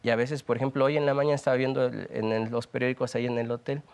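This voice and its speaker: A young man with a Mexican accent, speaking as a guest in a TV interview, earnest and reflective, often pausing and restarting his sentences. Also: mid-range voice, flat delivery, darkish tone, smooth. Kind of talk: conversational TV interview.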